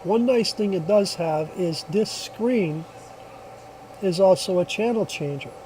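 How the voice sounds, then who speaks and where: A man's voice: high voice, takes it slowly, mellow, slight background noise, low-quality recording. A man giving a casual hands-on product demonstration, explaining step by step in a plainspoken way. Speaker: product reviewer.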